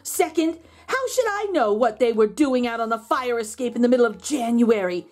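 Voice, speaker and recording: A woman's voice: a little high, expressive, normal speed, darkish tone. A woman voicing an indignant, self-pitying pet dog in a children's storybook, pleading with dramatic emphasis. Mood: defensive scorn. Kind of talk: children's storybook read-aloud.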